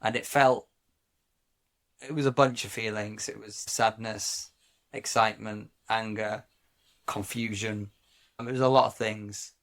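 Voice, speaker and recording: A man from Wales co-hosting a podcast, talking reflectively in a casual chat, often pausing and restarting his sentences. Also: lowish voice, steady tone, a bit bright. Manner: reflective, halting, many restarts. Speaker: podcast co-host.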